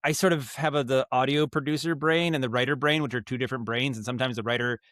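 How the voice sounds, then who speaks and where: An American man speaking as a podcast guest, telling stories in a casual, rambling and animated way. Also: medium pitch, fast, dry recording, clean studio sound. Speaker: podcast guest, audio drama writer and producer. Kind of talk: casual interview storytelling.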